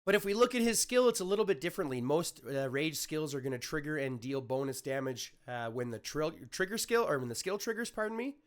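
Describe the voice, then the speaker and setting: A man with a slightly raspy voice, still getting over an illness, walking viewers through a strategy game in a chatty way, often correcting himself with fillers. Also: a little high, expressive, a little fast, hardly any pauses. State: recovering from illness. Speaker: gaming YouTuber. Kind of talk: casual game guide commentary.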